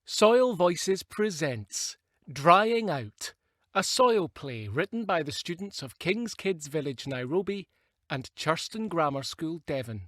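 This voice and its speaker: A man's voice: on the high side, sing-song, slow, fairly bright tone, dry recording, studio quality. A male actor narrating an audio play as a dramatic storyteller.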